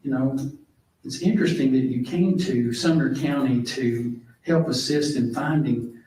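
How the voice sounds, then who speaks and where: A man making formal courtroom remarks in a stern, deliberate way. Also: medium pitch, mellow. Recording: very echoey room, distant microphone.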